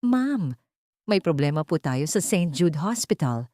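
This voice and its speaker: A woman's voice: lowish voice, sing-song, measured pace, warm tone, dry recording, studio quality. A woman voicing a serious, respectful private investigator in an audio drama. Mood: grave. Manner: serious, deferential. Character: serious, deferential private investigator reporting bad news.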